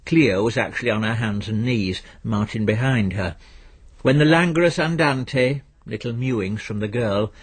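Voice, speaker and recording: A man reads literary fiction aloud in a measured, dryly understated way, his voice a little husky. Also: mid-range voice. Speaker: narrator reading fiction aloud. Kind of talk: scripted literary narration.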